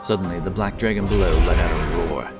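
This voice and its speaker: A man's voice: deep voice, fast, recorded from a distance. A man giving a dramatic scripted narration of a fantasy story. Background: loud epic background music.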